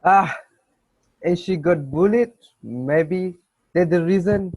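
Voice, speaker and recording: A man's voice: high voice, slow pace, a little mellow, no echo, exaggerated voice. A man playing a nervous, doubtful young student, hesitant, in an amateur dramatic reading.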